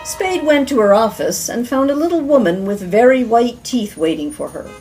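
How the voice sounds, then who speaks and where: A woman announcing and narrating a radio-style mystery drama in a measured, dramatic storytelling manner. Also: fairly high pitch, expressive, a little mellow. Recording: music clearly behind the voice.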